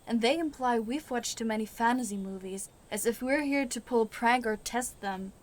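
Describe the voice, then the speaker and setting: Woman playing a blunt, exasperated high-voiced young woman. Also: normal speed, lightly acted. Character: blunt, exasperated young girl-group member. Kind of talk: audio drama acting.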